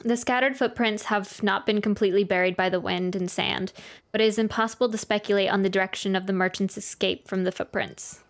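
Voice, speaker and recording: A woman's voice: medium pitch, steady tone, a little fast, a little hiss or hum. A woman doing casual gameplay commentary as a streamer, musing playfully and reading game text aloud. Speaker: gaming streamer. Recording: voice over game music. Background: game music.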